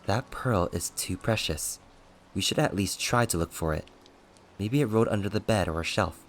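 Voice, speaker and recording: A quiet, prickly male servant as a man reads him, low in pitch and tightly controlled. He speaks coldly and deliberately in few words. This is a storybook character. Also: faint music behind. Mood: quiet, concerned. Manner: terse, cold, deliberate.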